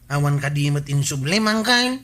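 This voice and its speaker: Bright, high-pitched Ilocano comedy buddy. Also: male voice, lively intonation, moderate pace. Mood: defensive. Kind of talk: broad comedy radio drama acting.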